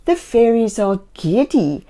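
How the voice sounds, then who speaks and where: A woman reading a children's story aloud in a gentle, theatrical way, speaking directly to young listeners. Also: a little high, big pitch swings, slow pace. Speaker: children's story reader and host.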